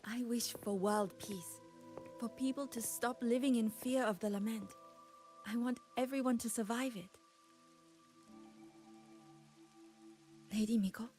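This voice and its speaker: A woman playing a gentle, devoted young ghost in a video game, speaking earnestly and a little hesitantly. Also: mid-range voice, natural voice. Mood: solemn, hopeful. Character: wistful, devoted young female ghost admirer of a shrine maiden. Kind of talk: game voice acting.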